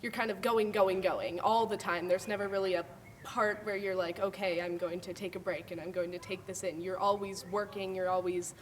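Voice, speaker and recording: A woman's voice: medium pitch, fairly even intonation, normal speed. Teen female drama student, casual interview talk, a little distant from the mic. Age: teens. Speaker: student actor. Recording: distant mic, some background noise.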